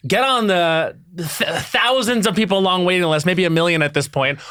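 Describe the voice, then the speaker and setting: Man in his thirties, fairly high voice, dry close sound, excitable podcast co-host. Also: normal speed, smooth, no echo. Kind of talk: casual chat.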